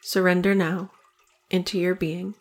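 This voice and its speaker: Very slow, low-pitched woman guiding meditation. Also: flat delivery. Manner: slow, deliberate phrasing with long pauses. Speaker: meditation guide. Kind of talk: guided meditation.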